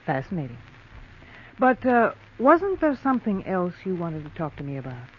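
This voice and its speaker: A woman's voice: lowish voice, big pitch swings, normal speed, slightly rough. A woman playing a shrewd, cold young American crook in an old radio crime drama, with clipped, wary speech. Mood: guarded interest. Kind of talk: 1940s radio drama acting. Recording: dull vintage radio recording.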